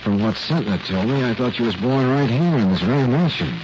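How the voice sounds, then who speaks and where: An actor playing a determined, wry private detective with a businesslike, conversational manner in 1940s radio drama. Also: male voice, medium pitch, expressive, brisk, natural voice. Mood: intent, wry. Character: brisk, determined adult male private detective. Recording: muffled, noisy old radio recording.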